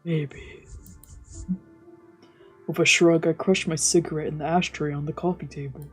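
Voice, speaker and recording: A woman streamer with a soft-spoken, subdued manner, narrating a visual novel aloud during a let's play. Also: sits low, steady tone, normal speed, breathy edge. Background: game music.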